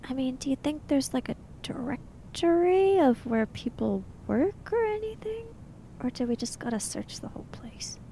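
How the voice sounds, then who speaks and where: A woman with a high-pitched voice plays a tabletop roleplaying game. Her delivery swings up and down in a lively way, casual and playful, as she improvises with quick jokes. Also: gravelly, slight background noise, low-quality recording.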